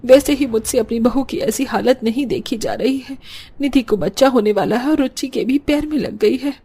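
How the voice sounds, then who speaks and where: Cartoon role woman performing: older mother-in-law, long-suffering, protective, earnest lament, fairly high voice. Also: normal speed, dark tone, breathy edge.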